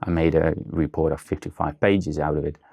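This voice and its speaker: A man with a Dutch accent talks in a very deep, gravelly voice. He pauses often on ums and starts his sentences over as he searches for words. He sounds like a guest in a casual interview. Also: steady tone, darkish tone. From Netherlands. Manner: hesitant, many fillers and restarts.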